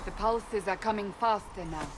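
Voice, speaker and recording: Game role: actress plays wary female warrior, authoritative, clipped orders, mid-range voice, loud background noise. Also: mid-range voice, normal speed, natural voice. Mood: grave. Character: stern commanding female warrior, wary and dutiful. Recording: game dialogue over loud ambient noise.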